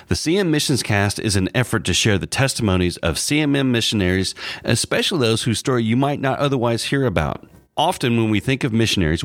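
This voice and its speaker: Man, podcast host, low voice, animated pitch, dry close sound. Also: dry recording. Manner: reads prepared narration smoothly. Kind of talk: scripted podcast introduction.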